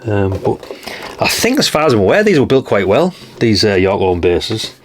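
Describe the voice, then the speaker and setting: A man's voice: on the low side, big pitch swings, moderate pace. A middle-aged man chatting casually and unscripted as he shows things, mildly sweary and full of tag questions. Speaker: host of a retro radio collecting channel. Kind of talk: casual unscripted show-and-tell chat.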